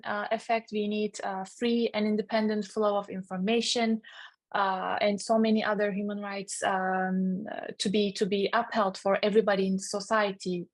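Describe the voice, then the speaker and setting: A woman moderating a discussion in formal remarks, often pausing mid-sentence as she goes. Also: mid-range voice. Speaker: webinar moderator.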